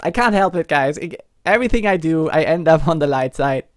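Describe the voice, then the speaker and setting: A man's voice: a little high, animated, a little fast, no room sound, clean studio sound. A man from Germany with a German accent, giving casual live game commentary, hesitant and chatting to viewers. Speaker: livestreamer, game host. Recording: faint game ambience behind.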